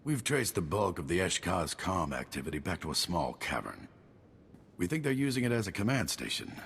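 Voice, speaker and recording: An actor playing a gruff, battle-weary general with a clipped, wry military delivery in a video game cutscene. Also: male voice, low voice, very animated, moderate pace, a bit bright, a little hiss or hum, exaggerated voice. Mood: grim, resolute. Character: gruff, weary, wry male army general under siege.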